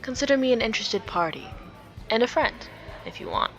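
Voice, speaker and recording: A woman's voice: a little high, big pitch swings, fairly quick, fairly bright tone, dull audio. Woman as teasing male rogue, flirty, smug, drawling, visual novel game, music behind. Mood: knowing, amused.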